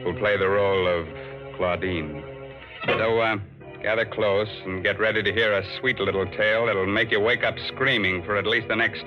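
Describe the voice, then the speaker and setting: A vintage, muffled radio recording with music underneath. An American man with a low voice hosts horror in a teasing, playfully ghoulish way, pausing deliberately. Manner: playfully macabre, teasing, unhurried pauses. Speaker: host introducing a horror drama. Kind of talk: 1940s radio horror hosting, scripted.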